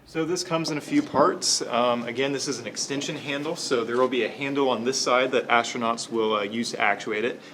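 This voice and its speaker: A young man engineering student methodically explaining how a prototype fits together, with some hesitant pauses. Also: medium pitch, a bit bright, slight background noise. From United States. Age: young adult. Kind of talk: casual explanation.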